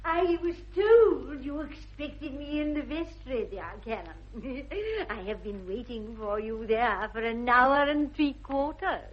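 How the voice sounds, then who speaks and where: An actress playing a prim, easily flustered middle-aged governess with an English accent, speaking haltingly and precisely in a radio comedy. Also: female voice, high-pitched, a little hiss or hum. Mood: coy. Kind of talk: 1960s British radio comedy acting. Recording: dull vintage radio recording.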